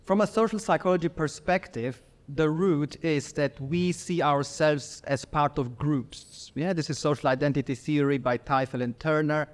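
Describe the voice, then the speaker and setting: A middle-aged man with an Austrian accent giving a workshop lecture, measured and pausing often with fillers. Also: fairly high pitch.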